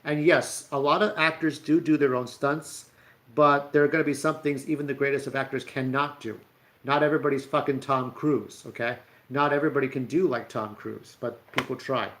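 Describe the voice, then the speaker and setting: Rambling, halting man hosting casually. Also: medium pitch, steady tone, a bit bright. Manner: rambling and halting, searches for names. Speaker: host of a stunt news show. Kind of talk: casual unscripted commentary to camera.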